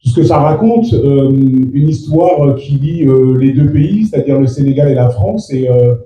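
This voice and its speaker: Dark-voiced man, halting, far in echoey room. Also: mid-range voice. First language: Fula. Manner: halting, many restarts and fillers. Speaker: actor. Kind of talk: press interview.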